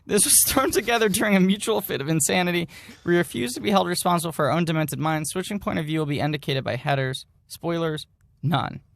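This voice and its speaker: A man's voice: fairly high pitch, quick pace, vocal fry, dry recording, studio quality. A man co-hosting a podcast, giving a mock-serious dramatic reading and breaking off into casual, amused asides. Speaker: podcast co-host reading aloud.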